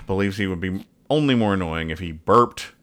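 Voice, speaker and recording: A man's voice: low voice, expressive, a little slow, a bit bright. A man hosting a podcast, reading comic strips aloud in casual comedic banter and explaining the jokes in a wry, mock-earnest way. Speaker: podcast host.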